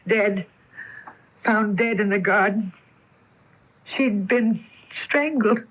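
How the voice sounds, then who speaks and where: An actress playing an elderly, secretive landlady in a radio mystery drama, halting and evasive. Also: mid-range voice, a bit bright, a little hiss or hum. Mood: troubled confession. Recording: dull lo-fi 1980 radio broadcast tape.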